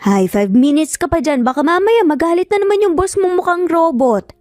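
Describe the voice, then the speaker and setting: A woman voicing a nagging, scolding older neighbour woman, loud and hurrying, in a narrated story. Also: very high-pitched, expressive, fairly quick, no room sound, studio quality, soft background music, pitched above the performer's own voice. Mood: teasing, nagging.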